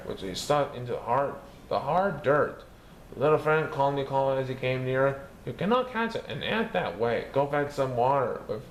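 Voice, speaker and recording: A man reading a children's picture book aloud, lifting his voice high for the characters' lines. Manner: lively, raising his pitch for characters' lines. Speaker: storybook reader. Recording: echoey room with faint background noise.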